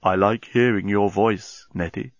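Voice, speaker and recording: A man voicing a soft, quiet, tender talking bomb that speaks slowly and deliberately in a storybook. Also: on the low side, steady tone, dry recording, lo-fi sound. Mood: tender, sad. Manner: slow, deliberate counting.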